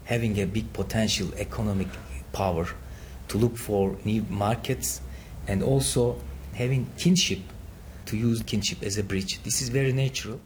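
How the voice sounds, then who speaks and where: Low-voiced male ambassador, faint background hum. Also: fairly even intonation, moderate pace, a little mellow. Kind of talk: interview answers.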